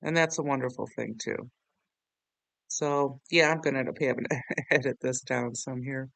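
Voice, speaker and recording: A man hosting a software tutorial in a casual, rambling, self-deprecating way. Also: mid-range voice, fairly even intonation, normal speed, vocal fry, dry recording. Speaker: tutorial host.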